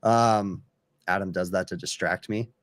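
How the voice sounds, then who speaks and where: A man of about forty hosting a casual livestream chat, halting and full of fillers. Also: low voice, steady tone, no echo. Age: 40s. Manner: chatty, halting, many fillers. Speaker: livestream host.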